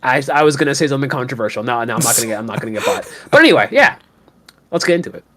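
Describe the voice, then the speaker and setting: A man's voice: mid-range voice, very animated, rapid-fire, sharp tone. A man co-hosting a podcast, stuffed up and a little nasal from a cold, casually reading out viewer messages. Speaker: co-host reading super chats.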